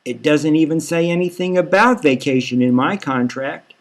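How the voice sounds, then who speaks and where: A man's voice: a little high, moderate pace, lightly acted. Man as blunt, complaining beauty-queen mom. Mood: indignant. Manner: incredulous, challenging. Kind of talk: satirical audiobook character reading.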